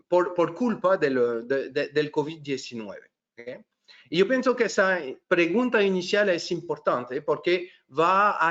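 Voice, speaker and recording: A middle-aged man from France with a French accent, presenting a webinar in a halting way with many fillers and restarts. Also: fairly high pitch, a bit bright. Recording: dull lo-fi webinar audio.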